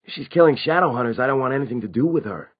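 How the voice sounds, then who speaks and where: A man's voice: mid-range voice, lively intonation, racing, muffled recording, pitched above the performer's own voice, close to an everyday voice. A man voicing an anxious, earnest, defensive teenage vampire in an audiobook story, with emphatic delivery. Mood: firm, defensive.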